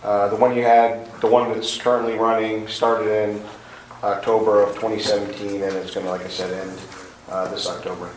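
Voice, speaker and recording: A man, an energy consultant, presenting at a public council meeting, his voice hoarse and going, with halting ums and uhs. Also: fairly low pitch, little pitch movement. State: losing his voice. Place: council chamber. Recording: echoey chamber, far from mic, noisy.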